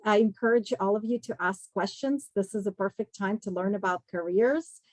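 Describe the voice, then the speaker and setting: A woman's voice: medium pitch, moderate pace, dry recording, poor audio. Woman guest speaker, dry close sound. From Kazakhstan. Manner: conversational, explanatory, direct address to listeners. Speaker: guest speaker, recruiting executive. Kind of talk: career talk to students.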